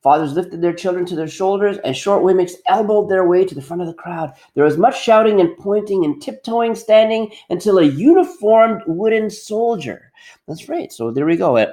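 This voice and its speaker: Male teacher reading aloud, very animated pitch. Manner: expressive, questioning, explanatory. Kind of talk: storybook read-aloud with questions to pupils.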